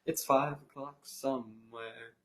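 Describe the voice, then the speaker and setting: A man's voice: mid-range voice, fairly even intonation, takes it slowly, fairly bright tone. A young man co-hosting a podcast, goofy and giggly, often laughing through his sentences. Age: young adult. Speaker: podcast co-host. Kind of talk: casual joking chat. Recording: echoey room.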